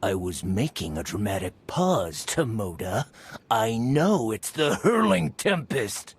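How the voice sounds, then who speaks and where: An actor playing a vain, bumbling scoundrel gang leader in a video game, pompous and theatrical with dramatic pauses. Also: male voice, on the low side, big pitch swings, husky, heavily acted. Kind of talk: video game character acting.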